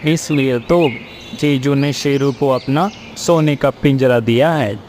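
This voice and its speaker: A man's voice: medium pitch, slow pace, darkish tone, slight background noise, lightly acted. A man voicing plain-spoken, earnest male animals in a children's cartoon fable. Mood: agreeing.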